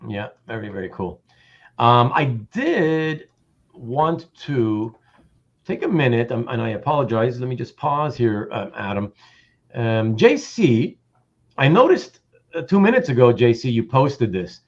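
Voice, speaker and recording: Animated man hosting, slightly dull lo-fi audio. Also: medium pitch, unhurried. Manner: rambling, self-interrupting, repeats words. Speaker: host of a comic art livestream. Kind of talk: casual livestream hosting, reading out chat comments.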